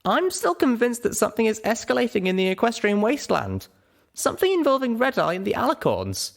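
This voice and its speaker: A man's voice: very high-pitched, huge pitch swings, fairly quick, gravelly, voice pushed up, full character voice. A man voicing a young female pony heroine in a story, earnest, bashful and hesitant. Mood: earnest, determined.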